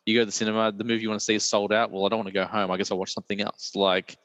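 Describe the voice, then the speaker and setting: Croaky, deep-voiced man, rambling. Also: completely flat delivery, racing, fairly bright tone, no room sound, big-room sound. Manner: rambling, many "like" and "you know" fillers. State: croaky, recovering voice. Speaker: podcast host. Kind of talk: casual film chat.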